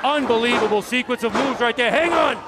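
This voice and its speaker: A man's voice: extremely high pitch, fairly quick. A man calling a live wrestling match play-by-play with an emphatic announcer delivery. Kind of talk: live sports commentary. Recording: loud crowd and venue noise behind. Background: wrestling crowd, ring slams.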